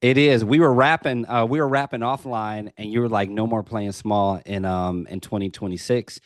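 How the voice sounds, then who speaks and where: A male podcast host with a lowish voice opens the conversation with eager, repetitive enthusiasm. He fills his talk with casual asides and repeated words, and the recording is dry and close. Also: steady tone, normal speed, no echo. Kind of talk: casual interview.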